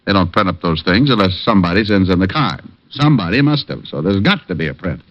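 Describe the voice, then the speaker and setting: An actor playing a dry, wisecracking private detective who narrates an old radio drama. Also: male voice, low voice, lively intonation, quick pace. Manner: dry wisecracking, laid-back. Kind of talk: 1940s radio acting. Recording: dull lo-fi old radio recording with music.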